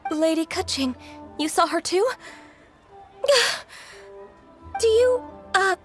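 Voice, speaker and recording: A woman's voice: very high-pitched, expressive, slow pace, faint music behind, heavily acted. A woman voicing a timid, polite, anxious young woman in a video game, with a hesitant, apologetic manner. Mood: nervous.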